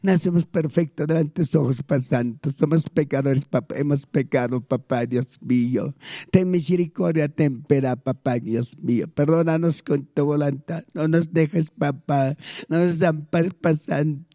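A man's voice: fairly high pitch, normal speed, mellow, no echo, studio quality. A man with a Peruvian Spanish accent praying aloud as a pastor, pleading fervently with repeated invocations. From Peru. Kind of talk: fervent spoken prayer.

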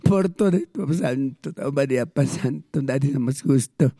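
A man's voice: medium pitch, moderate pace, mellow, dry recording, studio quality. A man with a Peruvian Spanish accent praying aloud as a pastor, pleading fervently with repeated invocations. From Peru. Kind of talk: fervent spoken prayer.

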